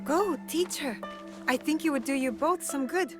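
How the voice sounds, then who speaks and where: Actress as young female warrior, bold, spirited, direct, fairly high voice, game cutscene, faint music. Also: normal speed, natural voice. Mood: matter-of-fact. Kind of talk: dramatic game cutscene acting.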